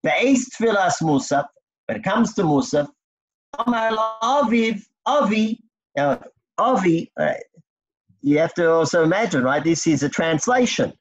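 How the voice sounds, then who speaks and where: A man's voice: high-pitched, expressive, a little slow. A man teaching a text study class, explaining step by step and quizzing his students. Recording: dull lo-fi recording.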